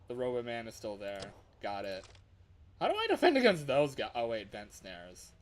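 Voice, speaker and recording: A man giving live, excitable gaming commentary as he plays, thinking aloud as he goes. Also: medium pitch, moderate pace, slight background noise. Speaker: livestreamer playing a fangame.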